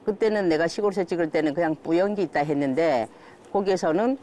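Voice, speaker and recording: A woman giving an unscripted interview, recounting things in a halting, plain conversational way. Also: fairly low pitch, dark tone, a little hiss or hum. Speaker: patient interviewee.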